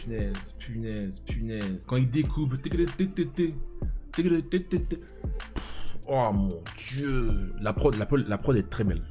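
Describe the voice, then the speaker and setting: A man's voice: medium pitch, lively intonation, a little slow. Dude in his 30s hyping over a rap album, going "glong glong" with the beat, music faintly behind. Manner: enthusiastic, imitates beats with sounds, hesitant restarts. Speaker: music reaction youtuber. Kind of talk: casual album reaction commentary.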